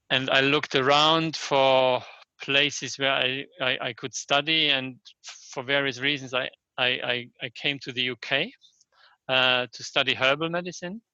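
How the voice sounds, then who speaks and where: An older man from Germany with a German accent, answering interview questions reflectively and haltingly, often restarting and checking in with "yes?". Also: mid-range voice, sharp tone, clean tone, no echo, muffled recording. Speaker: interview guest, Chinese medicine practitioner and scholar.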